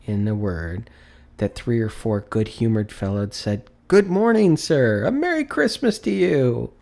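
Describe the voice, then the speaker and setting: Low-pitched man narrating a storybook. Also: dark tone. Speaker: audiobook narrator.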